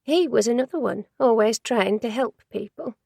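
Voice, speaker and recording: A gossipy older office lady who can't stay on topic, prattling on and passing judgment, put on by a woman reading the story. The voice sits a little higher than the narration, with a gravelly edge, close and dry. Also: moderate pace, dark tone, no room sound. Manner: chatty, rambling, easily sidetracked.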